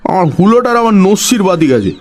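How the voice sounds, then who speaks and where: Guy doing high pitched quirky village know-it-all, bossy n scolding, very animated, audio story style. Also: fast talker. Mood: bossy, scheming. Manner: scolding, self-assured, colloquial.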